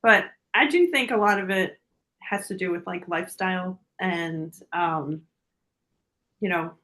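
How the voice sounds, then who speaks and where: A woman's voice: lowish voice, measured pace, a bit bright. A woman in her forties chatting casually as a podcast guest, often laughing as she talks. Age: 40s. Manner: giggly, laughs often while talking. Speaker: podcast guest, dancer and influencer. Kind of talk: casual chat.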